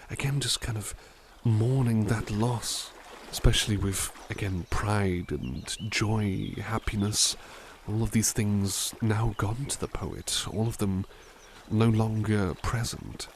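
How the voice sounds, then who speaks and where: A man voicing a kind-hearted, caring butler, reading poems softly and musing gently between them in a close, intimate roleplay. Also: on the low side, steady tone, slow, slight background noise. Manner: soft-spoken, gentle, reflective asides. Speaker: ASMR roleplay performer. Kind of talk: ASMR roleplay poetry reading.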